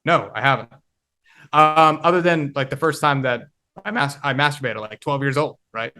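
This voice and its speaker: A man in his twenties speaking as a guest on a podcast. He talks in a reflective, halting manner and often pauses to find the right word. His voice has an ordinary middle pitch. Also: pushed and bright. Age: 20s. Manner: reflective, halting, searching for words. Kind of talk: casual conversation.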